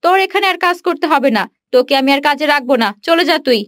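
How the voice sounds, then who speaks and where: A woman's voice: high-pitched, fast talker, a bit bright, no room sound, clean studio sound. A woman voicing a harsh, impatient female employer in a cartoon, curt and scolding. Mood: angry scolding.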